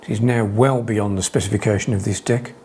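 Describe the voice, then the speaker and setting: A man giving a hobbyist's spoken equipment demonstration, calling out readings in a measured, deliberate, matter-of-fact way. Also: lowish voice, fairly even intonation, a little mellow, a little hiss or hum.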